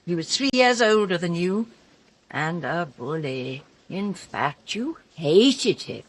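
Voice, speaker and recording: An actress playing an imperious, theatrical stage-star wife in a radio drama, commanding and pointed beneath sweet endearments. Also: female voice, fairly low pitch, animated, slow pace. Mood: needling.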